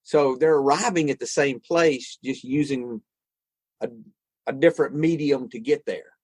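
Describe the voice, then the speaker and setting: A man's voice: mid-range voice, measured pace, darkish tone, husky, dry recording. A man, thinking aloud in a casual podcast conversation about recovery and spirituality. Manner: reflective, thinks aloud with frequent restarts. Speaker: podcast co-host.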